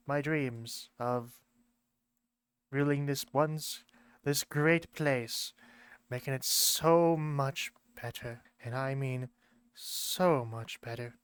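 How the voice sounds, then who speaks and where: A man voicing a pompous, grandiose communist leader in a put-on foreign accent that keeps changing, declaiming slowly. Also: fairly high pitch, fairly even intonation, clean tone. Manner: grandiose, slow declaiming speeches. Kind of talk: amateur lore video skit.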